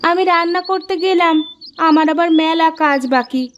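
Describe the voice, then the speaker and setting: A woman's voice: very high voice, normal speed, a bit bright, muffled recording, a little hiss or hum. A woman playing a caring, chatty farmer's wife in a Bengali cartoon story, with colloquial rural housewife talk. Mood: attentive.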